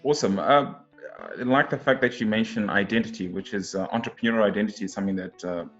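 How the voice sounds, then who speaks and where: Enthusiastic lower-voiced male interview host. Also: quick pace, fairly bright tone. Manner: enthusiastic, repeats words, many "uh" fillers. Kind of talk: interview hosting. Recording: dull lo-fi audio with faint guitar music.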